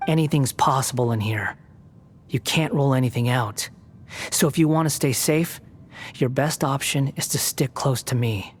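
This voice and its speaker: A man's voice: mid-range voice, moderate pace, a little hiss or hum, lightly acted. A man voicing a sardonic young male wanderer in a video game, speaking in a dry, mocking, condescending way. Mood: teasing.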